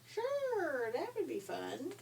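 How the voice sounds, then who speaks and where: A woman's voice: high voice, wildly swooping pitch, slow, creaky, a little mellow, far from the mic, a little hiss or hum, pitched above the performer's own voice, heavily acted. A woman voicing a clever, polite little male pig from a children's story, agreeable and sing-song. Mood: cheerfully agreeable.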